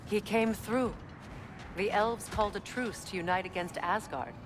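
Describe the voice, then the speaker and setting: An actress playing a proud warrior queen and goddess general, composed and measured. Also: medium pitch, lightly acted. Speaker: video game character. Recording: game audio with steady background noise.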